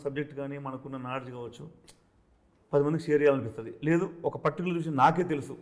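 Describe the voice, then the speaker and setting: A male film director. He talks extremely fast, explaining in a casual interview with English words mixed in. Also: a little high.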